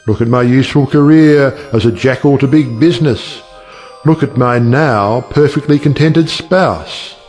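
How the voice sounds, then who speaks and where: A witty, cynical middle-aged judge played by a male actor holds forth in a stage-play style. He is sardonic and rhetorical, lecturing his family in a medium-pitched voice, with music behind him. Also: unhurried, a little mellow, lightly acted. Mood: earnest, argumentative. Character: witty cynical older uncle, a judge who lectures on marriage. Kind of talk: stage play audiobook acting.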